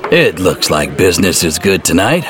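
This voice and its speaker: A rugged rancher, as a narrator plays him, very deep-voiced and spare with words. He sounds calm and modest. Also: normal speed, noisy background. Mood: laconic. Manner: quiet, laconic.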